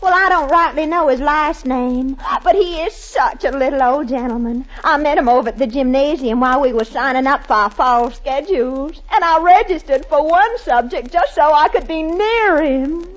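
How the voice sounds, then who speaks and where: A woman's voice: high-pitched, normal speed, hardly any pauses, lightly acted. An actress playing a gushing, boy-crazy young college girl with a sugary Alabama accent in an old radio sitcom. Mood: sweetly scheming. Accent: Southern US, Alabama. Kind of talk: 1950s-style radio sitcom acting. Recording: dull old radio recording, noisy studio audience.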